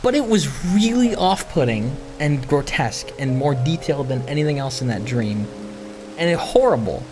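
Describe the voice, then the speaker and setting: A man hosting a gaming video, rambling casually in a halting, self-conscious way with some swearing. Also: mid-range voice, animated, over music. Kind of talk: casual rambling chat while playing.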